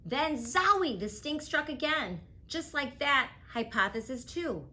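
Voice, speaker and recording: Slow, expressive woman reading a rhyming book. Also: sits high, a little hiss or hum. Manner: rhythmic, expressive rhyming delivery. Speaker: guest reader. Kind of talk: picture book read-aloud.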